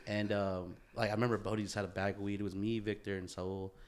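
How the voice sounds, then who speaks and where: A man chatting casually as a podcast host, telling stories in an animated, slangy way and swearing freely. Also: lowish voice, quick pace. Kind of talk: casual chat, storytelling.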